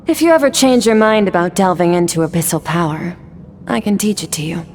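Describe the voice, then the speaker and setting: A woman acts out a cool young swordswoman mentor from a video game. Her voice sits slightly low, with an aloof, matter-of-fact delivery. Music plays softly behind her detached instruction. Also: fairly quick, in a large hall, a little hiss or hum, close to an everyday voice.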